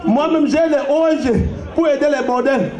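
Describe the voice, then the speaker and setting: A man from Cameroon doing an animated comic stage routine, shouting jokes with bits of broken English. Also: very high voice, normal speed, very noisy. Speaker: stand-up comedian. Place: event hall at a gala. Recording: echoey hall with loud crowd.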